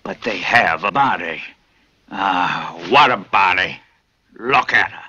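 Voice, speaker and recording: A cruel old plantation master, 60s, smug drawl, low husky voice, muffled film audio. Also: male voice, animated, takes it slowly, exaggerated voice. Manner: self-satisfied drawl, turning to furious shouting. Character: sixty-year-old male plantation master, pompous, cruel. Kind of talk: film acting. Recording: dull lo-fi film sound with music.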